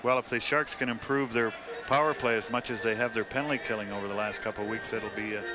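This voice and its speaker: A man's voice: fairly low pitch, fairly even intonation, fast, over music. A man working as a color commentator, giving conversational analysis of a live hockey game.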